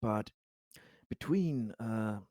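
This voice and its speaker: A man acts out a courteous sci-fi colony official with a lowish voice. He stammers and gropes for words, earnest and deferential throughout the tabletop game. The sound is close and dry. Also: animated, extremely slow, a little mellow, dry recording. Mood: impressed, thankful. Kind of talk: improvised in-character tabletop roleplay.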